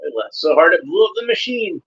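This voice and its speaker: A man's voice: high voice, expressive, fairly quick, a bit bright, breathy edge, muffled recording. A man giving casual running commentary while watching an episode, chatty and fond, pointing out details as they come up.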